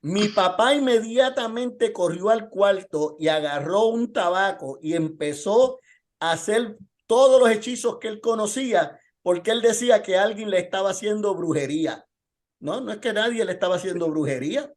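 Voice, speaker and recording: A man's voice: high voice, expressive, moderate pace. A man preaching as a pastor in an informal Bible lesson, telling testimony and posing rhetorical questions.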